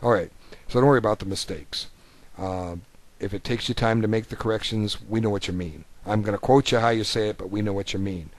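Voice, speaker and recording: Low-voiced man casually commenting on chess. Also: fairly quick. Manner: rambling, conversational, folksy. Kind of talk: informal game commentary. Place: voice-over of an on-screen chess board.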